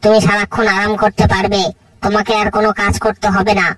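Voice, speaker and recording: A man voicing a scheming, bossy female crocodile wife in a cartoon, with a sharp-tongued, nagging way of talking. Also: sits high, completely flat delivery, fast talker, husky, no echo, far from the mic, low-quality recording. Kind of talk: cartoon voice acting.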